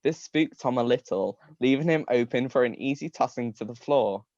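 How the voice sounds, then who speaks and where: A man with a mid-pitched voice reads a comic story aloud to a live stream. He sounds amused, tends to laugh through his lines and often stumbles and restarts. The sound is dry and slightly dull. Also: normal speed, a little mellow, no room sound. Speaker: reader of comic fan fiction.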